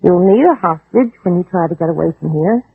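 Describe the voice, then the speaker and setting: A woman's voice: lowish voice, animated, a little fast, no room sound, narrow, tinny audio, exaggerated voice. An actress playing a brave, protective mother in a 1960s radio drama, pleading earnestly and persuasively with an armed man. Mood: tender, earnest. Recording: old band-limited radio recording, husky, dry.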